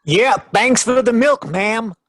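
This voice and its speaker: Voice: medium pitch, slow, a bit bright, no echo, clean studio sound. A performer playing an eager, gung-ho boyish young male deputy in an old-time radio drama.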